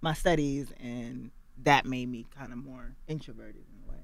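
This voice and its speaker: A woman from Los Angeles, a guest on a radio interview, telling her story in a candid, animated way. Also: a bass voice, moderate pace, vocal fry, no echo. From Los Angeles, USA.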